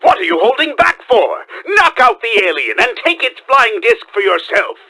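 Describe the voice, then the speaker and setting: A man voicing a pompous, boastful mad scientist villain in a video game, with a gravelly, forceful voice and theatrical, commanding delivery. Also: extremely high pitch, sing-song, normal speed, full character voice. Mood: instructing, boastful. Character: boastful, scheming middle-aged male mad scientist villain, bombastic.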